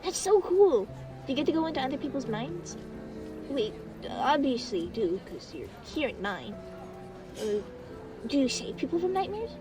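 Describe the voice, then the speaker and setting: Innocent, eager young child, very animated, rambling, audio roleplay, a little off mic. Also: female voice, high voice, normal speed, distant mic, music in the background, low-quality recording. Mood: playful. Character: excitable, chatty, innocent young child dreamer.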